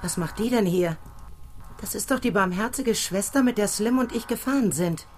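A woman's voice: medium pitch, moderate pace, darkish tone, a little hiss or hum, lightly acted. Casting: woman for scheming sweet-talking femme fatale, mid-range voice, urgent, radio crime play. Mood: pressing. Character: wily, coaxing young femme fatale. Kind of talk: German radio crime drama acting.

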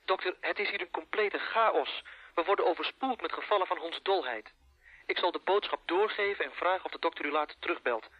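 An actor in a Dutch radio play playing a harried, firmly unhelpful hospital telephone operator, formal and apologetic, husky, heard through a telephone. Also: male voice, high-pitched, a little fast, no echo. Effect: telephone filter. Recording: dull muffled telephone line.

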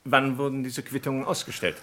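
An actor playing a polite, sharp-minded investigator in a German crime radio play, speaking formally and deliberately, full of questions. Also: male voice, mid-range voice, little pitch movement, lightly acted. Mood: inquisitive, thoughtful. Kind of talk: German crime radio drama acting, 1950s to 80s.

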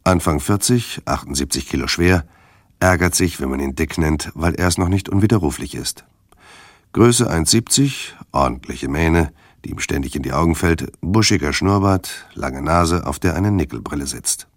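A very deep-voiced man in a dry, close studio sound. He reads like a dossier, measured and drawn out, with a wry edge suited to radio drama. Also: no room sound. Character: wry, measured male narrator reading out a dossier. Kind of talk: scripted narration in radio drama.

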